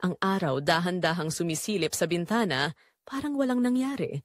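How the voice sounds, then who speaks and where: A woman with a Filipino accent narrating a scripted drama in the first person, measured and emotive with pauses. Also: fairly low pitch, lively intonation, no echo, clean studio sound. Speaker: storyteller.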